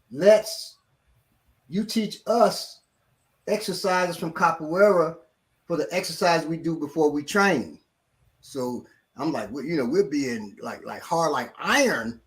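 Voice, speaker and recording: A middle-aged man, a martial arts instructor, telling a story in casual talk and acting out what others said. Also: a little high. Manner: animated, acts out quotes of others.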